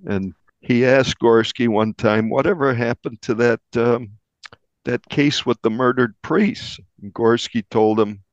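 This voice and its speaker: A man's voice: mid-range voice, dry recording, muffled recording. An American man giving a conversational account of his reporting as an interviewed journalist. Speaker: investigative newspaper reporter, interviewee.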